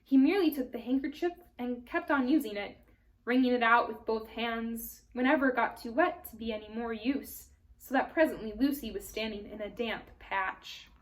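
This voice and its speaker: A woman's voice: a little high, normal speed, poor audio. A woman reading a children's story aloud expressively, as a storyteller who speaks directly to young listeners.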